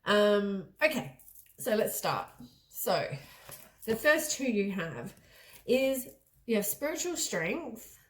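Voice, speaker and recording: A woman at a mid-range pitch gives a casual tarot reading, her voice stuffy and a little low from a head cold. She talks loosely and rambles, often stopping to correct herself mid-sentence. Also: sing-song, slow, pauses often, poor audio. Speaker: tarot reader.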